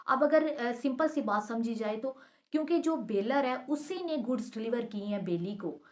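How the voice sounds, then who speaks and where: A woman teaches law in an explanatory lesson style. She works patiently through each point step by step, restating it so that it is clear. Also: on the high side. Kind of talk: explanatory lesson, reading statute text aloud then explaining with examples.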